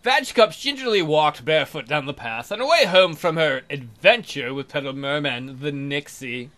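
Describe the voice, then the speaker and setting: A man's voice: fairly high pitch, lively intonation, moderate pace, a bit bright. A man hosting a let's play, reading out a visual novel and mocking it with crude joking commentary.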